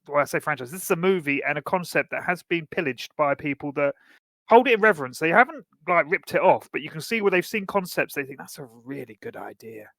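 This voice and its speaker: A man's voice: a little high, animated, fast talker, vocal fry, a bit bright, dry recording. A man co-hosting a podcast, talking about films in a casual, blokey, informal way. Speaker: podcast co-host. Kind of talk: casual film discussion.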